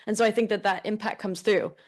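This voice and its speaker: A woman's voice: mid-range voice, steady tone, fast talker. An American woman on a conference panel, answering an audience question in a thoughtful, loose way with many "like"s and "right"s. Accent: American English. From United States. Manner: thoughtful, frequent fillers like "like" and "right". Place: conference room.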